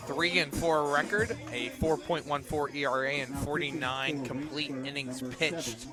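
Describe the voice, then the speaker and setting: A man doing live play-by-play softball commentary for radio, with clipped, terse calls that lift on big plays. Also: a little high, fairly even intonation, unhurried, over music. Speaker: play-by-play sportscaster.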